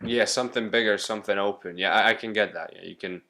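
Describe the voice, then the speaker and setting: A man hosting a podcast who talks in a relaxed, encouraging way and thinks out loud while asking questions. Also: lowish voice, fast talker. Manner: chatty, encouraging, thinks aloud. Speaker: podcast host. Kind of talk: casual interview chat.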